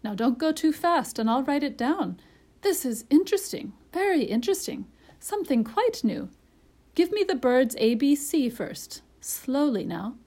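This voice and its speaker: A woman's voice: on the high side, big pitch swings, normal speed, hardly any pauses, darkish tone. A woman voicing a mild, kindly male doctor who speaks politely and with curiosity. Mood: curious. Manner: polite, inquisitive.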